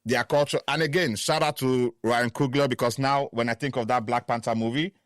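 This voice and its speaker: A man's voice: mid-range voice, fairly even intonation, fairly quick, a bit bright, dry recording, clean studio sound. A man from Nigeria co-hosting a podcast, talking casually and earnestly, building his arguments step by step. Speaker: podcast co-host. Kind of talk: casual chat.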